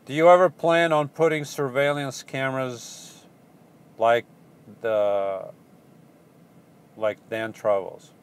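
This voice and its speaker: Man, Portuguese accent, mid-pitched voice, halting, rambling, van-life vlogger reading out questions. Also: steady tone, clear, resonant tone. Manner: rambling, halting, with many ums and restarts. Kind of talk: casual live Q&A chat.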